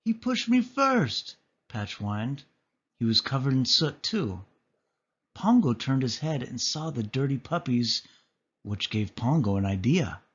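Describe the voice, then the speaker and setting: A man reading a children's story aloud as an expressive narrator. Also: medium pitch, unhurried, breathy edge, lo-fi sound. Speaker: storybook reader.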